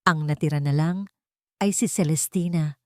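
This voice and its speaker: A Filipino woman voicing a hurt, coldly resolute young wife in an audio romance, speaking in a calm, bitter, measured manner. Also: sits low, dry recording, studio quality, natural voice. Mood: resigned.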